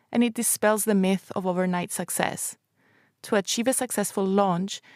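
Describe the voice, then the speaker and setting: A woman hosting a radio show, reading a polished scripted introduction at a measured pace and then asking interview questions at a live podcast recording in a showroom. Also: medium pitch, gravelly, a little mellow, no room sound, studio quality.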